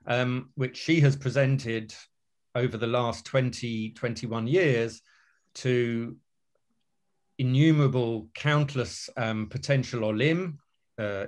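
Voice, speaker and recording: A man introduces a guest speaker at an online webinar, speaking hesitantly with many ums and repeated words. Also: mid-range voice. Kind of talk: formal introduction. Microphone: video call.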